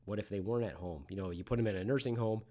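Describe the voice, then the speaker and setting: Brief: man in his forties, podcast host, low-ish voice, swears freely, shifts between loud outbursts and quiet reflection. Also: rapid-fire. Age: 40s. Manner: frank and profane, swinging from loud outbursts to quiet musing. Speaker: podcast host in the medical field. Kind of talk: casual solo podcast monologue.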